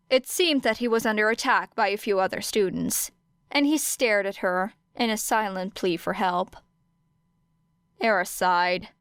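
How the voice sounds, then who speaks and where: A woman's voice: mid-range voice, fairly bright tone, clean tone, dry recording, clean studio sound. A woman reading scripted fiction as an audiobook narrator.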